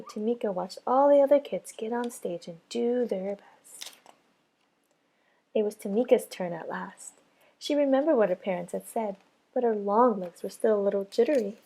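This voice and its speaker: A woman's voice: fairly high pitch, creaky, mellow, breathy edge. A woman preschool teacher reading a children's storybook aloud as narrator.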